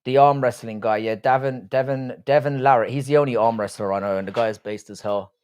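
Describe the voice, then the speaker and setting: A man's voice: mid-range voice, fairly even intonation, brisk, darkish tone, clear, resonant tone. Laid-back South London man streaming live. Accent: South London English. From Ireland. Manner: laid-back, chatty, swears freely. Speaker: livestream host. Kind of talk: casual livestream chat reading viewer comments.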